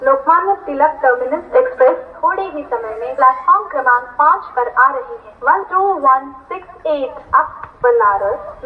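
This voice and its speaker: A high-voiced woman with an Indian accent reads formal station announcements in a measured, formulaic way. Her words come through a tinny public-address loudspeaker with a strong echo. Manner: formal, measured, word-by-word delivery. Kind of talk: formulaic station announcement, repeated in several languages. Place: railway station, over loudspeakers.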